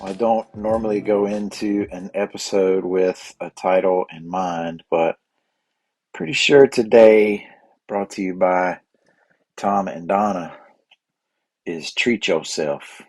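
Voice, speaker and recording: Guy hosting his podcast, bit hoarse, lowish voice, dry close sound, rambles. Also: slow pace, a little mellow, no echo, soft background music, poor audio. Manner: rambling, with many fillers and restarts. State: a little hoarse and raspy. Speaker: podcast host. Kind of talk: casual solo podcast monologue.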